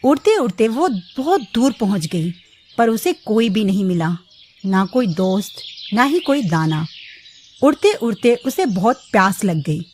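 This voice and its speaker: A woman's voice: mid-range voice, sing-song, measured pace, a little mellow, slight background noise. A woman storyteller narrating a children's bedtime cartoon tale.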